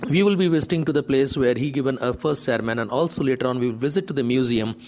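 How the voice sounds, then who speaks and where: A man's voice: medium pitch, quick pace, darkish tone, slight background noise. A man, a tour director explaining the day's plans on location. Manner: explanatory, slightly nonstandard grammar. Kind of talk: on-location conversation.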